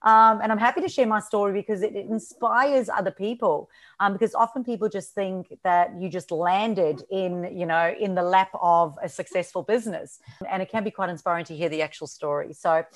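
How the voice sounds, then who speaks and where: A woman's voice: mid-range voice, brisk. A woman from South Africa with a mixed South African and Australian accent, chatting as a podcast guest with frequent ums and laughs. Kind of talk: casual interview chat.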